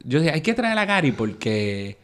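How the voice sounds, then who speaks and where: A man's voice: fairly high pitch, very animated, a little fast. A man hosting a talk show with a Puerto Rican accent, introducing his guest in a loose, chatty, joking way. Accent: Puerto Rican Spanish. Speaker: host.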